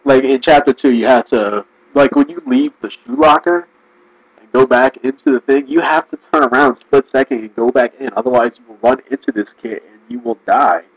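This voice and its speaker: A man giving casual, rambling commentary, restarting sentences and laughing often, his voice going hoarse. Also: medium pitch, no room sound, low-quality recording. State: losing his voice. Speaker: video commentator.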